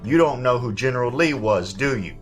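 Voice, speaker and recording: A man's voice: mid-range voice, lively intonation, brisk, lightly acted. Guy acting stern folksy boss man in an audio drama, bossy, guitar music behind him. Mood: probing, folksy. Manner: folksy, domineering. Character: stern Southern gang boss, commanding man named Mister Lee.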